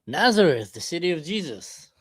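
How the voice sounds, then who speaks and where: Chatty guy in his 40s hosting a stream, kinda high voice, no room echo at all. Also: sing-song, moderate pace, slightly rough. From Israel. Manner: chatty, upbeat, asks lots of questions. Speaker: livestream host chatting with strangers. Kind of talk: casual video chat. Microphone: desk camera mic.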